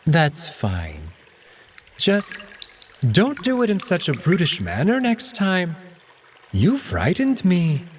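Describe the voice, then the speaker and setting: Haughty anthropomorphic cat courtier played by a male reader, peevish and guarded, lifted swooping pitch, visual novel drama. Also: huge pitch swings, slow pace, pauses often, slight background noise, exaggerated voice. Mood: peeved, aggrieved. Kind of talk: visual novel character acting.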